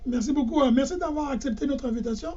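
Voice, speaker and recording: A man's voice: very high voice, fast, mellow, lo-fi sound, a little hiss or hum. A man hosting an interview, with hesitant, stammering restarts. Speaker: radio and TV host.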